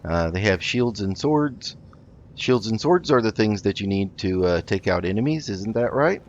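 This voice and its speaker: A man hosting a roleplay gaming video, improvising in character with a polite, halting delivery. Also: on the low side, dull audio, slight background noise. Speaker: gaming host.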